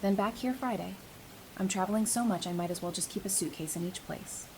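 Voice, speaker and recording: A woman acts out a playful, geeky survivor in an audio drama. Her voice sits at a medium pitch, warm and teasing in a casual way. There is noisy rumble in the recording. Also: fairly quick, close to an everyday voice. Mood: shyly flirtatious. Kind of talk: fan audio drama acting. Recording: noisy background with low rumble.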